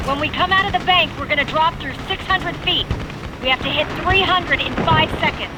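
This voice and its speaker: High-voiced, commanding female military pilot played by an actress. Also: fairly quick, very noisy, close to an everyday voice. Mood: determined. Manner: crisp, commanding. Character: determined female military aircraft commander, a major. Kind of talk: cinematic military game acting, clipped radio commands. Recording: loud music behind the voice.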